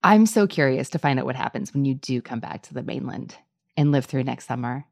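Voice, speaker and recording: Woman podcast host with a low voice and a dry, close sound. Playful, laughs easily. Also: lively intonation, fairly quick, gravelly, no room sound. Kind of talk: casual interview chat.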